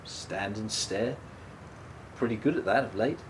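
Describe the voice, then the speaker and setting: An actor playing a subdued, guilt-ridden man in a radio play, speaking haltingly and repeating his words. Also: fairly low pitch, a little hiss or hum, natural voice, rough recording. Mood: morose, weary. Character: guilt-ridden, self-blaming man, an ex-prisoner, subdued and troubled. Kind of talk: radio play dialogue, naturalistic.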